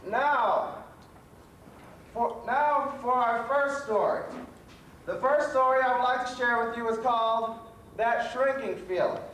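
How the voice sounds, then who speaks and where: A jovial doctor of fun played by a man with a very high male voice. He hosts children's stage storytelling a little haltingly, distant in a roomy space. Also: fairly bright tone. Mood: theatrical. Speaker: host. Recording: roomy, distant stage recording with faint noise.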